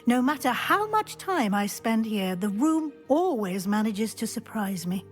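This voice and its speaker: A woman's voice: fairly high pitch, very animated, unhurried, faint music behind. A kindly female professor. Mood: gentle. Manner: gentle, instructive. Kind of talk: video game cutscene.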